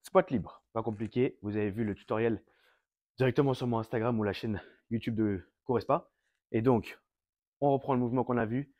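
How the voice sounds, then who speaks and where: A French-accented fitness coach with a cold, breathing through his mouth and quickly out of breath. His voice is dark and mellow, recorded dry and close. He walks viewers through a home circuit step by step. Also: mid-range voice, no echo, lo-fi sound. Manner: explains step by step, addresses viewers directly. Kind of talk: home workout instruction. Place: fitness studio.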